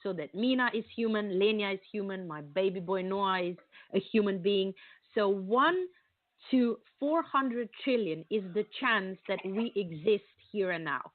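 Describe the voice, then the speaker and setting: A woman's voice: mid-range voice, animated, a little slow. A woman with a Slovenian accent talks earnestly as an interview guest, stringing long thoughts together with many ums and uhs. Her voice comes through a thin, phone-like line. The sound is dry, with no room echo. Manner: earnest, explaining in long run-on sentences with many fillers. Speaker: guest, marketing coach. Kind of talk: conversational interview.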